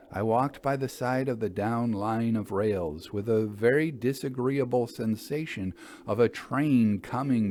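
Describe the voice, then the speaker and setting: A man narrating a literary ghost story as an audiobook, in a measured, formal storytelling manner. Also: fairly low pitch, a little hiss or hum.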